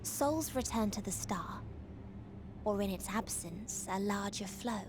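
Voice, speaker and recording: A woman voicing a melancholy, otherworldly girl in a game, solemn, soft and deliberate. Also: mid-range voice, noisy background, close to an everyday voice. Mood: sorrowful conviction. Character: melancholy otherworldly girl preaching salvation through nonexistence.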